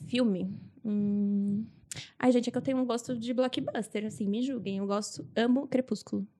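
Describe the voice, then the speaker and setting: A woman's voice: a little high, steady tone, normal speed, mellow. A Brazilian woman talking informally and chattily as a podcast guest, with a Brazilian Portuguese accent. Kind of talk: casual chat.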